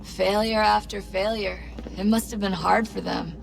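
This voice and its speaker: An actress playing a young, gently curious teammate in a video game, speaking tentatively and with concern. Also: female voice, medium pitch, fairly even intonation, normal speed, vocal fry, music in the background. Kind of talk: video game cutscene dialogue.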